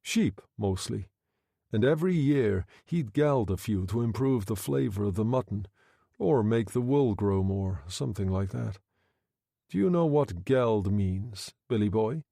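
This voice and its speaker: A man voicing a calm, quietly menacing Irish gentleman who speaks in a soft, conversational tone. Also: fairly low pitch, measured pace, creaky, no echo, clean studio sound, full character voice. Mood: quiet menace.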